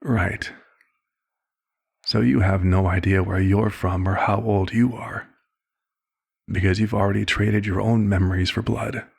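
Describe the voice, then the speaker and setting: Husky, gravelly vampire doctor, played by a man. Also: lowish voice, fairly even intonation, unhurried, pauses often, exaggerated voice. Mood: probing, measured. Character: vampire doctor, male, concerned, paternal, measured therapist. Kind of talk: ASMR roleplay.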